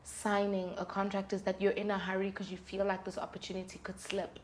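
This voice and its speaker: A woman's voice: medium pitch, completely flat delivery, fast talker, slight background noise. A woman speaking as an interview guest, giving candid, articulate and emphatic advice in a conversational way. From South Africa. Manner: emphatic, articulate, candid, talks in rhetorical questions and examples. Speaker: guest, independent singer-songwriter.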